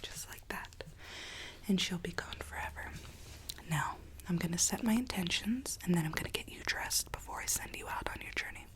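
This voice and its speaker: Conspiring fairytale Alice, played by a woman, ASMR roleplay. Breathy whisper, hushed, coaxing, softly menacing. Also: fairly low pitch, lively intonation, close to an everyday voice. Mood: coaxing, scheming. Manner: hushed, slow, coaxing, quietly sinister. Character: whispering mad Alice, conspiring captor seeking her true self.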